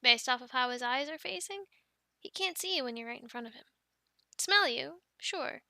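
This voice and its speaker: A friendly young dragon keeper in a fantasy audio roleplay, acted by a woman. Her high voice has frequent creak and a slow, encouraging delivery. She talks playfully and is recorded close and dry. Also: expressive, sharp tone, no echo, full character voice. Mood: gentle, instructing. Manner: playful, chatty, cooing to animals like pets. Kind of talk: ASMR roleplay, addressing the listener.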